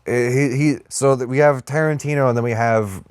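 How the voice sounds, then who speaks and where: A man's voice: mid-range voice, steady tone, brisk, darkish tone, clean tone, dry recording, studio quality. A man co-hosting a podcast, chatting casually about films in a rambling way with some swearing. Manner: rambling, profane, hedging with lots of "like". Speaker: podcast co-host discussing movies. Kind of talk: casual film chat.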